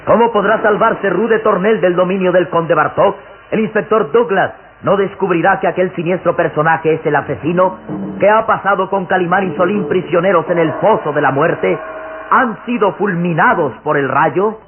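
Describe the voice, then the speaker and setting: A man's voice: high voice, normal speed. A man narrating an old radio serial in a dramatic, scripted style. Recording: tinny old radio recording, music behind.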